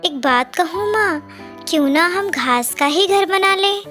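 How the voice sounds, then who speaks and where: An innocent little girl bird from a children's cartoon, played by a woman. The voice is extremely high and childlike, with slow, earnest delivery. Soft music sits behind it. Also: animated, exaggerated voice. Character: innocent little female young bird, obedient daughter. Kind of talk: Hindi cartoon character acting.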